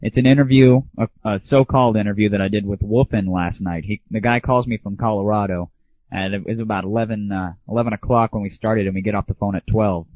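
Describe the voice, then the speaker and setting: A man's voice: on the low side, steady tone, fast, dry recording. A man hosting an internet audio show, giving casual, opinionated solo commentary with irreverent, crude humor. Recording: thin phone-like early internet audio.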